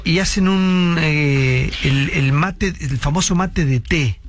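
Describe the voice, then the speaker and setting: A man's voice: a little high, takes it slowly. A man with an Argentine Spanish accent chatting casually on a radio show, telling a story with many fillers. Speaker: radio co-host. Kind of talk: casual studio chat.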